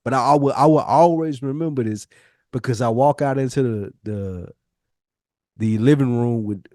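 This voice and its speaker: A man's voice: mid-range voice, moderate pace, warm tone, no echo, studio quality. A man in his forties telling personal stories in casual podcast chat, slangy and profane, repeating phrases for emphasis. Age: 40s. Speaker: podcast co-host.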